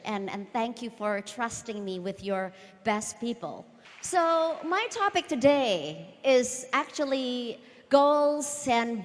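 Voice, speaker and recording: Woman giving an earnest stage talk. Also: fairly high pitch. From Indonesia. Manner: earnest, repeats words, asks the audience questions. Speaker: conference speaker. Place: large hall. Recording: long hall reverb.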